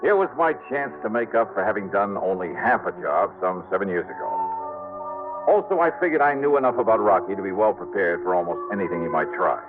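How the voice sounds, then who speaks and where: Terse, dry insurance investigator, played by a man, lowish expressive voice, vintage radio with music. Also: brisk, natural voice. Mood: narrating. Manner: terse, dry, hard-boiled. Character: tough wisecracking insurance investigator. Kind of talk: 1950s radio drama narration. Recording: tinny old radio with music.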